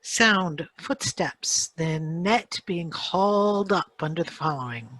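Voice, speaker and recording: Female script-cue reader: bright tone, unhurried, dry close sound. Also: on the low side, dry recording.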